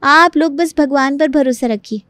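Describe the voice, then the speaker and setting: A smooth-talking young daughter-in-law, voiced by a woman for an animated family tale. The voice is high and closely recorded with no room sound, and the manner is hopeful, coaxing and full of reassurance as schemes are hatched. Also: moderate pace, dry recording, studio quality. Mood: reassuring. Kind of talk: Hindi animated story dialogue.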